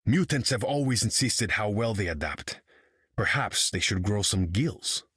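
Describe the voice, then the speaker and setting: A man's voice: fairly low pitch, lively intonation, a little slow, fairly bright tone, slightly rough, dry recording, studio quality, full character voice. An actor playing a proud, imperious undersea king in a video game, speaking in a pompous, formal way. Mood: scornful. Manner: pompous, grandiose, formal speech. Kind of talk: video game character dialogue.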